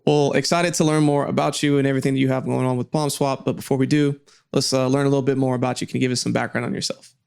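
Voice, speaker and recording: A man's voice: mid-range voice, level tone, quick pace, gravelly, a little mellow, clear, resonant tone. A man hosting an interview show, upbeat and asking questions with casual fillers. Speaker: podcast host.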